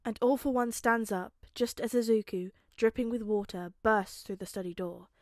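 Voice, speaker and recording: Female audiobook narrator, fairly high voice, expressive dramatic delivery with emphatic pauses, dry close recording. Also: no echo. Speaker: fan fiction narrator. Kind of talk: expressive scripted story narration.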